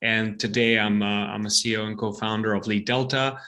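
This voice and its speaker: A man's voice: lowish voice, steady tone, fairly quick, sharp tone. A middle-aged man from the Serbia region talks through his startup story as a podcast guest. His speech is loose and conversational, with frequent restarts, doubled words and fillers. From Serbia, Balkans region. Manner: repeats words often, many fillers. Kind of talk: casual podcast interview.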